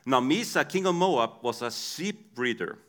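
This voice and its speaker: A man's voice: medium pitch, animated, a little slow, a bit bright. A man with an Icelandic accent, a native Icelandic speaker, preaching a sermon in a conversational storytelling way with frequent restarts.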